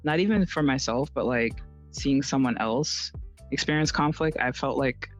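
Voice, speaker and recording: A man speaking casually and haltingly as a podcast co-host, feeling out thoughts as they come. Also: medium pitch, soft background music. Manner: hesitant, halting delivery. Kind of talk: casual personal conversation. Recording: slightly dull lo-fi sound.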